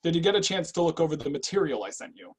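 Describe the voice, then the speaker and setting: A man's voice: fairly high pitch, sing-song, rapid-fire, husky. A man in a script reading, playing a composed, businesslike personal assessor who probes people. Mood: calm, probing. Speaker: actor in a screenwriters' readers theatre.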